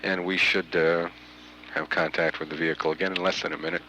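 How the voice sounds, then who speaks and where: A man with a very deep, crisp-edged voice delivers mission control commentary in a flat, measured way. He hesitates often between phrases, on a hissy archival recording. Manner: halting, frequent long hesitations. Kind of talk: measured live spaceflight commentary. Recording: noisy archival 1980s mission audio.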